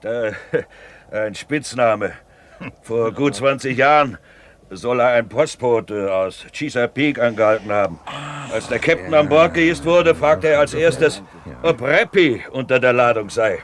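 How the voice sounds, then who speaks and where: Guy doing a grizzled old sea captain in a radio play, hoarse, blustery, spinning salty yarns. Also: mid-range voice, animated, measured pace, a little hiss or hum, lightly acted. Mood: storytelling. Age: older. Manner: bawling, emphatic, salty storytelling. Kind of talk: 1970s German radio drama acting.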